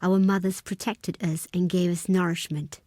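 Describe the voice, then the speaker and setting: Woman acting a low-voiced, proud desert tribeswoman. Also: little pitch movement, normal speed, dark tone, dry recording, close to an everyday voice. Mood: solemn. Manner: solemn, formal, imperious. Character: proud, stern desert tribe woman, second-in-command, wary of outsiders. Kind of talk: dramatic video game character acting.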